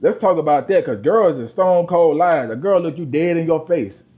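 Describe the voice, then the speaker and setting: A man, co-hosting a podcast. He tells profane stories, dropping to a low mutter and then breaking into loud outbursts. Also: lively intonation, moderate pace, muffled recording. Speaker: podcast co-host. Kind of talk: casual chat, storytelling.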